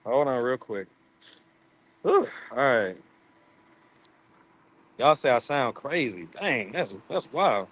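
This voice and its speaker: A man's voice: mid-range voice, expressive, takes it slowly, long pauses, a bit bright. A man with a slight lisp, hosting a casual livestream and chatting with viewers as he reads out their comments. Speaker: livestream host. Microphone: streaming mic.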